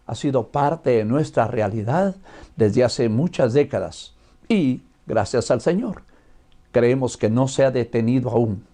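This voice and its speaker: This is an older man with a Guatemalan accent, delivering a religious lesson. His tone is mellow and soft-edged at a middling pitch. He lays out his points deliberately, explaining them as a seasoned missionary teacher does. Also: expressive. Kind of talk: religious lecture.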